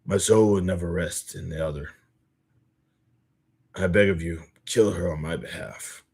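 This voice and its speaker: A man's voice: low-pitched, measured pace, gravelly, a little mellow, husky, exaggerated voice. A man voicing a grave, fatalistic veteran assassin in a solemn, formal reading of story dialogue. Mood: solemn, pleading.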